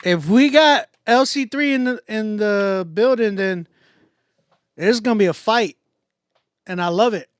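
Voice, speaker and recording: A man's voice: high voice, measured pace, long pauses, clean tone, no echo, studio quality. A man with an American accent hosting a music contest livestream in casual, slangy, repetitive hype talk.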